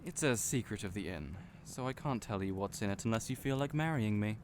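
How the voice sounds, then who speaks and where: A man's voice: lowish voice, animated, fairly quick, close to an everyday voice. A man playing a friendly, teasing young innkeeper in a fantasy audio roleplay, chatty and playful, speaking right to the listener. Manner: chatty, playful, genial storyteller. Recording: noisy storm and fire ambience behind. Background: storm and crackling fire ambience.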